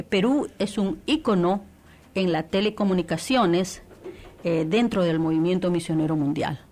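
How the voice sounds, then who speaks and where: A woman's voice: lowish voice, a little mellow. A woman from Peru, a church radio coordinator, giving an earnest interview testimony with many restarts and fillers.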